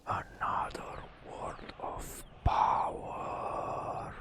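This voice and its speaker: A man softly narrating a fantasy game as ASMR, in a breathy whisper with slow, dramatic storytelling and long pauses.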